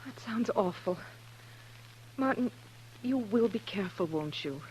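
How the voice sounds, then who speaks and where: A woman's voice: medium pitch, lively intonation, measured pace, darkish tone, natural voice. Sheltered young woman, female actor: mid-range voice, earnest and fretful, vintage radio western. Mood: anxious. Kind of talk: 1950s radio drama acting. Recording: humming, noisy 1950s radio recording.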